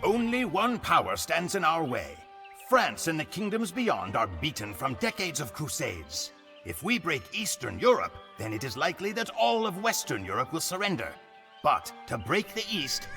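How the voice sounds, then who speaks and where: Grave Mongol warrior storyteller, dramatically inflected. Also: male voice, on the high side, gravelly. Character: solemn, proud Mongol warrior recounting his people's conquests. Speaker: campaign narrator. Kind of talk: dramatic scripted cutscene narration. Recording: music behind the voice. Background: music.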